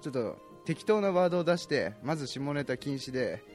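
A man's voice: mid-range voice, lively intonation, brisk, a little mellow. A young man co-hosting an amateur show, chatting casually with friends. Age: young adult. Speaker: co-host of an amateur talk show. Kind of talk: casual chat among friends. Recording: music under the voice.

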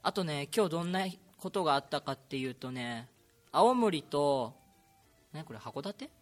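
A young man hosting a casual amateur radio chat with friends, talking in a playful, teasing, excitable way. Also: fairly high pitch, normal speed, faint music behind. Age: young adult. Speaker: radio show host.

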